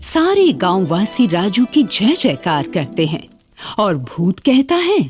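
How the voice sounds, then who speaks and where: A woman's voice: mid-range voice, big pitch swings, slow, soft background music. A woman reading a scripted story as narrator.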